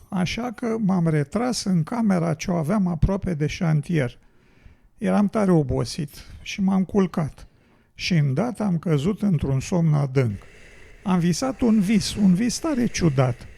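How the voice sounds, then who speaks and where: A man plays a worn-out, ailing road builder telling his story in a radio drama. His voice is high for a man, extremely creaky and rough with husk. He sounds plaintive and musing. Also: lively intonation, warm tone, exaggerated voice. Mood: reflective narrating. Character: weary, ailing male road builder, scornful of nature. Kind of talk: educational radio drama monologue.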